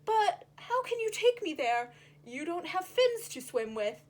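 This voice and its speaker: A woman's voice: very high-pitched, big pitch swings, a little slow, full character voice. A woman voicing a young male rainbow fish in a storybook, earnest, polite and childlike. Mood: polite, puzzled.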